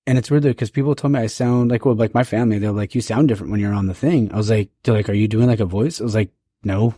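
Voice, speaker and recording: A man's voice: on the low side, fast, dark tone, no room sound, clean studio sound. A man hosting a podcast, rambling casually with lots of fillers. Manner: rambling, many fillers like "like" and "dude". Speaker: podcast host. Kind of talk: casual chat.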